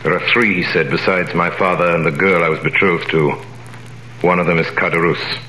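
A solemn, mysterious stranger in an old radio play, his voice very low yet bright and forceful. He speaks in a measured, grave way. The sound is an echoey vintage broadcast with faint hiss. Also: fairly even intonation. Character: grave mysterious stranger, the returned Dantes in disguise. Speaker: narrator and lead actor. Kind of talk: 1930s radio drama acting.

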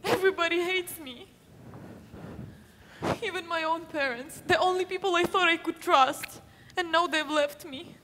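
A woman's voice: extremely high pitch, moderate pace, sharp tone, a little hiss or hum. A woman voicing a distraught, panicky young man who clings to his sister. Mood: despairing. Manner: emotional, panicky. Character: anxious, distraught young man rejected by family. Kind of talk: hobby voice drama.

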